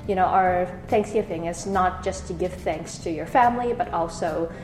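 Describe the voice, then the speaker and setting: A woman's voice: fairly low pitch, moderate pace, echoey room, over music, poor audio. A woman from Canada co-hosting a radio show, talking in a friendly, casual way with many fillers. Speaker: radio co-host.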